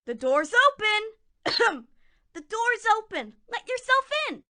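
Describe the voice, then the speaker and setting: A woman's voice: very high voice, big pitch swings, takes it slowly, pushed and bright, full character voice. A woman voicing a sly, scheming female big bad wolf in a children's cartoon, with a mock-sweet, theatrical, wheedling delivery. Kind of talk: children's cartoon fairy tale acting.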